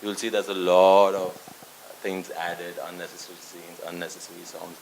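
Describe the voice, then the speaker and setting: A man's voice: low-pitched, level tone, normal speed, vocal fry, slight background noise, rough recording. A man, a documentary filmmaker answering interview questions conversationally. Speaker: documentary director and writer.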